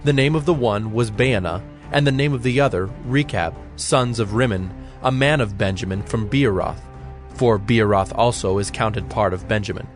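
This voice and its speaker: A man narrating dramatized scripture from a script in a measured, formal manner. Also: lowish voice. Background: music.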